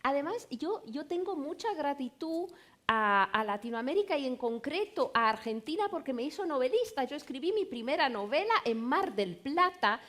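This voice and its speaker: A woman novelist whose first language is Romanian talks on a literary panel. Her voice sits high and swoops through a wide, lively range. She tells her stories animatedly and drops playful asides. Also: moderate pace. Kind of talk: conversational panel talk.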